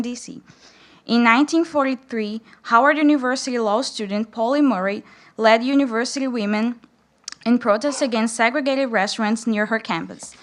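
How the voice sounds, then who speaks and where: High-voiced young Brazilian woman. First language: Portuguese. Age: young adult. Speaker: student reader at a public read-in. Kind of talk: reading aloud to an audience.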